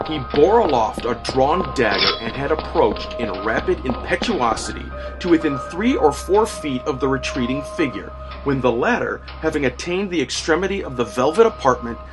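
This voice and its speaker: A man narrates gothic horror in a theatrical, measured style at a medium pitch. His delivery is formal and dramatic, with music running underneath. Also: animated. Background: background music.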